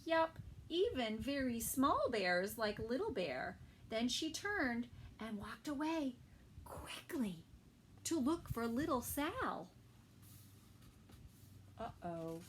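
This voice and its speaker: A woman preschool teacher reading a picture book aloud to young children, adding gentle asides and soft whispered comments. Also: fairly high pitch, very animated, slow, a little hiss or hum.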